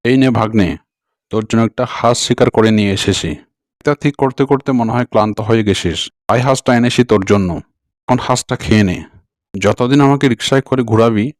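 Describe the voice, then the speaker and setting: An actor playing a bossy, boastful tiger uncle in an animal cartoon, with a commanding and threatening manner. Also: male voice, lowish voice, no room sound, clean studio sound, lightly acted. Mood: generous, commanding. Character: bossy male tiger uncle who hunts and bullies. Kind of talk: Bangla animal cartoon dialogue.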